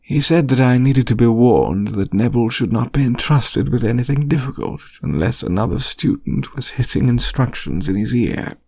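A man's voice: mid-range voice, lively intonation, normal speed, gravelly, hardly any pauses, a little mellow, no room sound. A mild, courteous male teacher, played by a man narrating a story. He speaks cordially and with dry wit, in a fairly everyday voice. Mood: indignant. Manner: mild, cordial, dryly amused. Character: polite, wry, soft-spoken male teacher.

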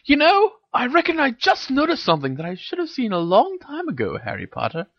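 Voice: high-pitched, huge pitch swings, moderate pace, a bit bright, dry recording, dull audio, voice pushed up, full character voice. A reader voicing a teenage girl witch, a bossy and teasing best friend who speaks in emphatic exclamations, for an audio story. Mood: taunting.

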